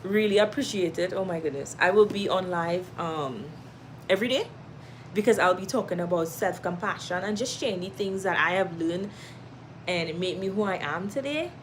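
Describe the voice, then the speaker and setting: A woman's voice: fairly low pitch, normal speed, slight background noise, rough recording. A Trinidadian woman hosting a casual livestream at home, reading viewer comments, laughing often and giving encouragement. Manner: warm-hearted, encouraging, laughs often, reads comments aloud. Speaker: live stream host.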